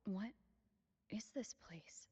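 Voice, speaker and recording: An actress playing a wary young adventurer in a video game, speaking in a hushed, measured way with long reverb. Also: female voice, medium pitch, slightly rough. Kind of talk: dramatic video game acting.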